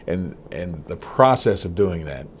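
A middle-aged man answering interview questions in a small TV studio, telling stories quickly with frequent restarts. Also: sits low, animated, slight background noise. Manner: quick, storytelling, many restarts. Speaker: racetrack communications executive.

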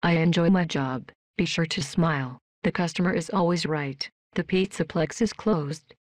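A woman voicing a polite, emotionless female robot worker in a video game, with flat, stilted, deadpan delivery. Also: sits low, moderate pace, no echo. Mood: flat, alert. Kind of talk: scripted video game voice lines.

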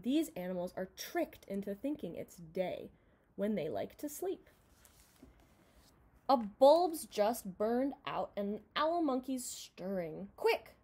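Young woman, fairly high voice, very lively intonation, expressive children's book read-aloud, home recording. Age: young adult. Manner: expressive, dramatized reading. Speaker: storybook reader. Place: indoor room at home.

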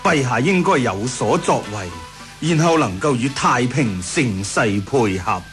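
A man's voice: mid-range voice, lively intonation, measured pace, fairly bright tone, faint music behind. A man with a Hong Kong Cantonese accent playing a scheming, deferential imperial councillor in a radio drama, speaking persuasively.

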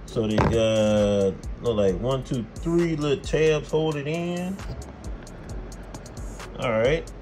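An American man talks through a hands-on job in a casual, folksy way, thinking aloud as he goes. His voice sits at an ordinary male pitch, with music clearly playing behind him. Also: slow, pauses often, a little mellow. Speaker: host, car mechanic. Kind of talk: casual hands-on how-to chat to camera.

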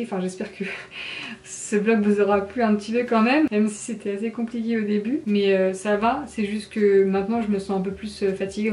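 A young woman talks to camera in an informal, confiding way. She speaks quickly and with little rise or fall in pitch, sounding congested and nasal. The small bathroom adds a clear echo around her voice. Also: mellow. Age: 20s. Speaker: vlogger. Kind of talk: casual chat to camera. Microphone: camera at arm's length. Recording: echoey tiled bathroom.

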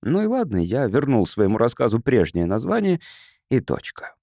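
Man narrating an audiobook, lively intonation. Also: mid-range voice, normal speed, vocal fry, no echo, studio quality. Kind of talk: scripted literary narration, reading a science fiction story.

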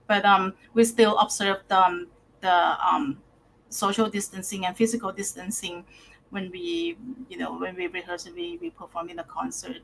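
A woman's voice: lowish voice, fairly even intonation, moderate pace, vocal fry, fairly bright tone, a little hiss or hum, low-quality recording. Middle-aged woman, friendly, hesitant, video-call host, laptop mic. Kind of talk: interview hosting. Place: home room.

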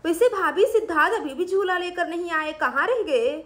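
A proud, excitable aunt, played by a woman, chatters in a very high, bubbly voice. She belongs to a children's story about a village family. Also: moderate pace. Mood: excited. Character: proud, excitable young aunt who cooks.

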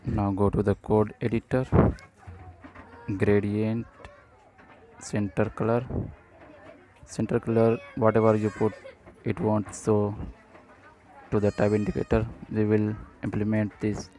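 A man presenting an unscripted screencast coding tutorial in short, halting phrases. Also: lowish voice, monotone, warm tone, poor audio.

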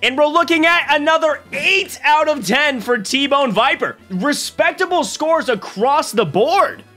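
A man's voice: very high voice, animated, a little slow, sharp tone, soft background music. A man in his twenties streaming from a small room at home into a desk mic, joking energetically and laughing often. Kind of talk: casual live-stream commentary. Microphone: desk mic on boom arm.

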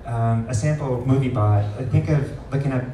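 A man presenting a live software demo at an indoor meetup, explaining informally with frequent pauses, picked up by a distant camera. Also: medium pitch. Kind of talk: live product demo talk. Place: large wood-ceilinged meetup room, indoors. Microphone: far camera recording the room. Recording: roomy, distant pickup with faint noise.

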